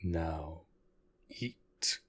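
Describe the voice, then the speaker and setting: A man playing an aloof, commanding yet protective vampire master in an intimate roleplay audio drama, speaking in a formal, measured way. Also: very deep voice, a bit bright, breathy edge, heavily acted. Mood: coolly caring. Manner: formal, measured, deliberate speech.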